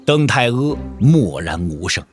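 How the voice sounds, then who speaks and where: A man's voice: mid-range voice, big pitch swings, a little slow, soft background music. A man narrating a martial-arts audio drama in a dramatic storytelling style.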